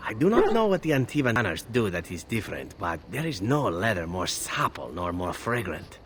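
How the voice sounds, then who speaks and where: An actor playing a smooth-talking, flirtatious assassin with flowery, courtly phrasing in a video game. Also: male voice, on the low side, sing-song, a little fast, slight background noise. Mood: wistful, musing. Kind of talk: video game dialogue acting.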